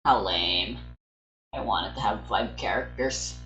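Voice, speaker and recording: Very low, slow-talking deadpan woman. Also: highs cut off. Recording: roomy voice over game music.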